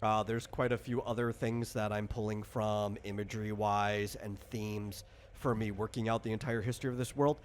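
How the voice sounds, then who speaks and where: A man's voice: fairly low pitch, fairly even intonation, moderate pace, a little hiss or hum. Rambling man in casual explanatory chat. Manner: rambling, thinking aloud. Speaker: game designer and host.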